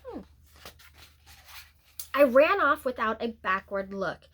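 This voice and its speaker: A woman reading a picture book aloud as a teacher would, in a deliberate, expressive storytelling manner with drawn-out words and pauses. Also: a little high. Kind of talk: picture-book read-aloud, scripted narration with brief asides.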